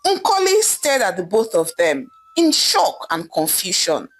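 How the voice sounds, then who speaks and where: A woman narrating a scripted folktale in a dramatic, expressive storytelling manner. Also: medium pitch, unhurried. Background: background music.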